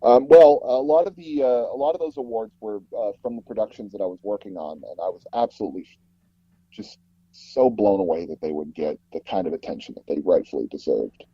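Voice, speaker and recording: Male interview guest, low and husky, rambling and self-effacing, on a muffled, dry recording. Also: expressive, a little fast, no echo. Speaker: interview guest, audio drama producer. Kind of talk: casual interview talk.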